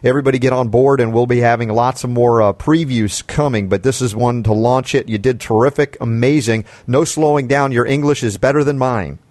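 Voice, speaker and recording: A man's voice: medium pitch, brisk, hardly any pauses, darkish tone, no echo. A man hosting a health talk radio show, effusive and enthusiastic.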